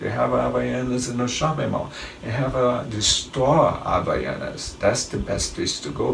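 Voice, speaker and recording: A man's voice: on the low side, creaky, slight background noise. A middle-aged man with a Brazilian Portuguese accent, giving rambling travel tips in a casual monologue and often restarting his sentences. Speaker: travel vlogger. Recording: roomy, distant mic with faint ambience.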